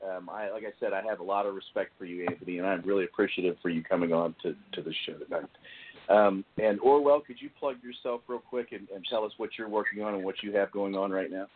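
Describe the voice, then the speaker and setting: A man hosting a podcast discussion, speaking haltingly and often repeating his words. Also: deep voice. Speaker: podcast host. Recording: tinny phone-like call audio.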